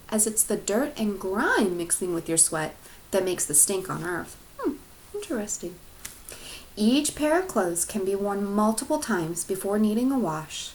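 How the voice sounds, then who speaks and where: A woman teacher reading a storybook aloud to her class in an expressive narrating style. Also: mid-range voice, slight background noise, poor audio.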